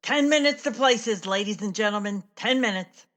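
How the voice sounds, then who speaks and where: An actress in a radio play playing a theatre stage manager, brisk and wry, with deadpan asides. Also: female voice, medium pitch, close to an everyday voice. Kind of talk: radio play acting, backstage calls.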